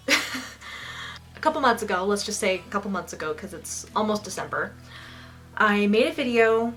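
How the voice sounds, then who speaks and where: A young woman with a slight lisp reviewing a goth album in a casual, bubbly and dramatic way, a little sniffly and rough from allergies. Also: medium pitch. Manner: bubbly and dramatic, squeals with excitement.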